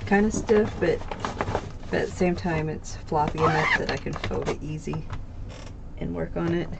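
A woman's voice: low voice, creaky, heavy background noise. A woman with a stuffy, slightly nasal voice, rambling casually through her crafting projects at home, with many ums and restarts. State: congested, a bit nasal. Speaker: craft channel host. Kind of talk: casual chat showing stitching projects. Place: home craft table, indoors.

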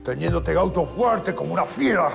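An actor with a Rioplatense accent playing a proud, desperate gaucho locked up, swinging between soft comfort and furious threats. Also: male voice, mid-range voice, measured pace, vocal fry, faint music behind. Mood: raging. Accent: Rioplatense Spanish. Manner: swings from soft consoling to shouting rage. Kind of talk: drama acting.

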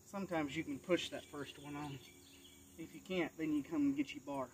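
A man's voice: a little high, steady tone, fairly quick. An American man in his thirties or forties giving a casual, folksy hands-on tutorial, talking while he works. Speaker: do-it-yourself demonstrator. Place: outdoors in a cluttered rural yard. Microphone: camera a few feet away. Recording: outdoor camera audio, faint insect noise.

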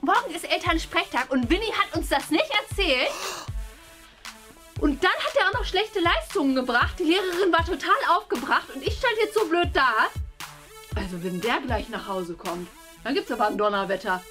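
A young woman playing a flustered, indignant mother of two in an improvised family sketch, exaggerated and halting. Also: high-pitched, expressive, pushed and bright, soft background music. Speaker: actor in a family web series. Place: bright furnished rooms indoors.

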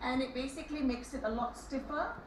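A woman's voice: high voice, fairly quick, over music. A woman speaking as an architect, explaining something in an interview. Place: open stadium stands. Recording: echoey, slightly distant, clear ambient noise.

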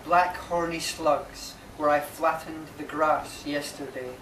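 Slow, echoey man reciting poetry. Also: a little high, fairly even intonation. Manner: measured, pausing between short phrases. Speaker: poet reading own work. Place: inside a marquee at a live reading. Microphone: camera mic a few metres away. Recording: distant, echoey, noisy camera recording.

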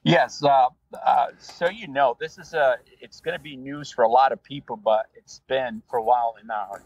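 A man from Brazil with a Brazilian Portuguese accent, a rideshare driver telling his story in a relaxed, rambling way with many fillers. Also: medium pitch, normal speed, breathy edge, dull audio. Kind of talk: casual interview storytelling.

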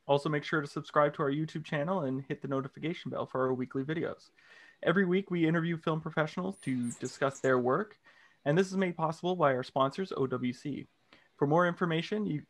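A man in his thirties hosting a film interview show from a home room, reading a scripted intro and then asking questions with halting restarts. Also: a little high. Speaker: host. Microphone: large studio condenser mic with headphones.

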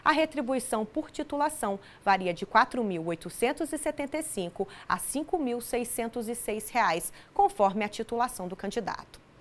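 A woman, presenting scripted news in a formal, clearly articulated way from a TV studio on a lapel mic. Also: a little high, animated, normal speed, hardly any pauses.

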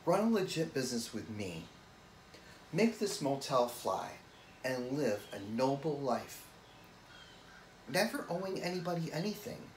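Man acting an earnest, slow-spoken, weary brother. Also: medium pitch. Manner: deliberate, earnest, emphatic pauses. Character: earnest, weary responsible brother pleading with his sibling. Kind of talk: dramatic monologue. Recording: echoey room with faint noise.

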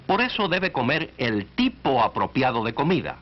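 A man's voice: mid-range voice, sing-song, normal speed. A man narrating a 1940s educational film in an instructive way, speaking directly to the listener. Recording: dull, band-limited 1940s film soundtrack.

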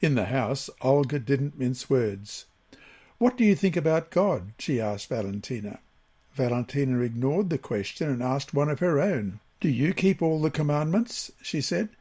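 A man from Australia reads a devotional study aloud. His voice sits at a middle pitch with a husky edge. He phrases deliberately, pausing between short groups of words as he works through the prepared text. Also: lively intonation, mellow. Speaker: Bible lesson reader. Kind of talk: scripted devotional reading.

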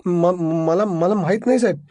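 A man's voice: high voice, moderate pace, no room sound, clean studio sound, natural voice. A man voicing a frightened, flustered police constable in a crime audio drama, stammering, whiny and repeating himself as he makes excuses. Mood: terrified.

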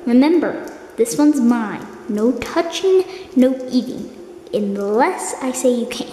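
A patient, bossy sock puppet played by a woman scolds and explains at an unhurried, slow pace. The voice is high and mellow, with sighs and hesitations, in an echoey room for a homemade puppet show. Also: expressive. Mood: scolding. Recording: echoey hard room with background noise.

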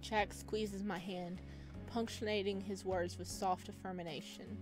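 A woman's voice: mid-range voice, fairly even intonation, takes it slowly. A woman streaming a visual novel playthrough, reading aloud expressively with playful, chatty reactions. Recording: music playing behind the voice.

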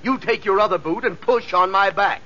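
An actor playing a smug, stubborn, excitable store salesman who gloats, in a 1940s radio sitcom. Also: sits high, moderate pace. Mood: frustrated, determined. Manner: animated, gloating. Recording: slightly noisy, muffled old radio recording.

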